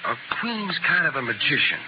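An actor playing a cocky, cold-blooded young rancher's son with taunting menace in a 1950s western radio drama. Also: male voice, fairly high pitch, sing-song, brisk. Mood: smug, threatening. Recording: muffled, noisy vintage radio recording.

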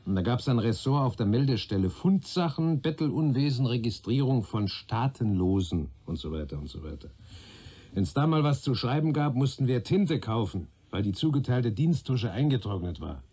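A wry older police chief in a radio crime play. His husky, mellow voice swings in lively melody as he rambles, jokes and grumbles to a junior colleague. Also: medium pitch, normal speed. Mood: wry grumbling. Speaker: actor in a radio crime drama. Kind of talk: German radio play dialogue.

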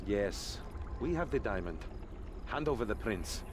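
An actor playing a cocky, sarcastic young adventurer in a video game, flippant and expressive. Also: male voice, on the low side, measured pace, noisy background. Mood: tense, irritated.

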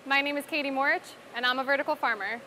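A woman's voice: high-pitched, steady tone, fast, pushed and bright, slight background noise, low-quality recording. A young woman, a vertical farmer, talking casually and in a friendly way in an interview. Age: young adult. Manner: friendly, chatty, laughs easily. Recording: interview with background music.

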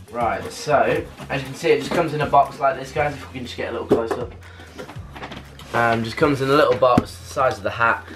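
A man in his twenties with a British accent chats casually and haltingly to camera as a vlogger, trailing off at the ends of phrases. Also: medium pitch. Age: 20s. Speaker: vlogger unboxing a purchase. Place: small bedroom, later a bathroom. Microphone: camera a couple of metres away.

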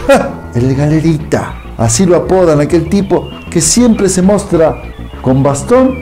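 An actor playing a hot-headed, scornful Italian immigrant husband with an Italian accent in a radio soap. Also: male voice, fairly high pitch, expressive, measured pace, warm tone, faint music behind. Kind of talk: radio soap opera acting.

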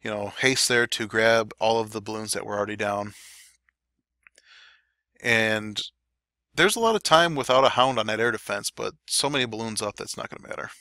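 A man giving relaxed, chatty play-by-play commentary over game replays, his throat a little dry. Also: fairly low pitch, normal speed, no echo. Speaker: clan war recap commentator.

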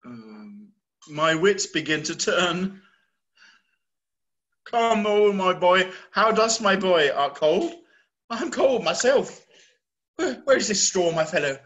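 A man's voice: high-pitched, big pitch swings, takes it slowly, pauses often, heavily acted. A man playing a raging old king. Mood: weary. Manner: declaiming, swings between roaring and hushed. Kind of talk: play read-through.

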